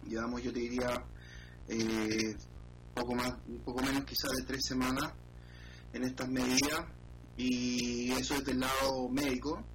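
A man with a Chilean accent, a financial columnist answering interview questions in a measured, hesitant way. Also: mid-range voice, flat delivery, noisy background. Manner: measured, hesitant, many fillers.

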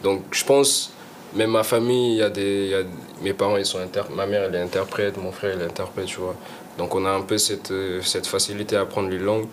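Relaxed slangy young man, lowish voice. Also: fairly quick, a little hiss or hum. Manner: relaxed, slangy, trails off and restarts. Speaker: rapper guest. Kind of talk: casual chat.

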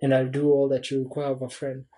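Young guy in his 20s on a podcast, mellow soft voice, thinks out loud and restarts a lot. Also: mid-range voice, completely flat delivery. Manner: reflective, speaks in measured thoughts with frequent restarts. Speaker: podcast guest. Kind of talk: casual chat.

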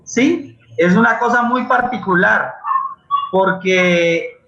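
High-voiced Colombian man, bright and roomy. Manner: halting, searching, repeats words for emphasis. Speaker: guest playwright and actor. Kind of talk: impassioned conversational interview. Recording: dull lo-fi roomy online call.

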